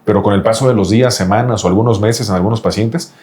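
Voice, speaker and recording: A man's voice: fairly low pitch, quick pace, a little mellow. A man, a doctor specializing in reproductive andrology, explains things insistently to a patient and often repeats the patient's name. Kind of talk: explanatory interview talk with a patient.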